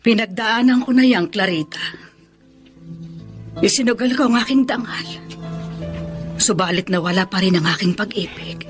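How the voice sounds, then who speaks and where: A woman's voice: medium pitch, normal speed, long pauses, music in the background, lightly acted. An actress playing a sober, regretful middle-aged mother in a Filipino radio drama, with a Filipino accent.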